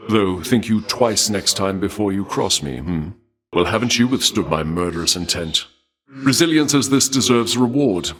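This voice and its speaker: An actor playing a courtly god of war in a video game, speaking formally and with measured, suave menace and a taste for bloodshed. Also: male voice, deep voice, fairly bright tone. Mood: cold displeasure, then magnanimous. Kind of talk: theatrical video game character dialogue.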